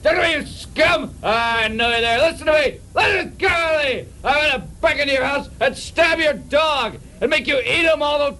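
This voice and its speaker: A man playing a pompous, dim-witted, short-tempered private detective in a comedic radio drama, melodramatic, shifting between deadpan narration and ranting. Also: very high-pitched, normal speed, few pauses, heavily acted, low-quality recording. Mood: furious ranting.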